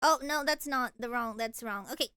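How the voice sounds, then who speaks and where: A woman's voice: on the high side, moderate pace, bright, forceful tone, smooth. A young woman streaming casually, gushing and giggling as she reacts and answers chat. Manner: bubbly, gushing, frequent giggles and repeated words. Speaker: VTuber streamer.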